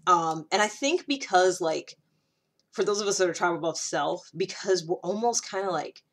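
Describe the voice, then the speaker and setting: A woman's voice: lowish voice, moderate pace. A woman giving a casual explanatory monologue, thinking aloud in a conversational way and leaning on key words. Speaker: personality typing vlogger.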